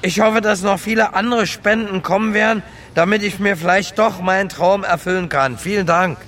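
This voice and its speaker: A man's voice: high voice, normal speed, a bit bright. An actor playing an earnest homeless man, plain-spoken and colloquial, talking gratefully about his dream in a radio drama. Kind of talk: radio drama acting, interview-like. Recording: noisy outdoor urban street, traffic. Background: vehicle and street noise.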